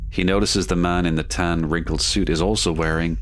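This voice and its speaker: A man's voice: low voice, steady tone, fairly quick, a little hiss or hum. A man narrating a gothic horror audio drama in scripted present tense, setting each scene.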